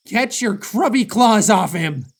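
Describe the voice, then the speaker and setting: A woman's voice: medium pitch, animated, measured pace, husky. A woman voicing a wary, protective young male traveler, defiant and suspicious, in an audio drama. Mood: angry.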